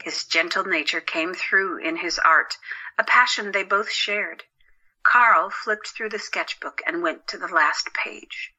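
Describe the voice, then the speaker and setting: A woman's voice: lowish voice, a little slow, sharp tone, muffled recording. A woman reading scripted story narration for an audio drama.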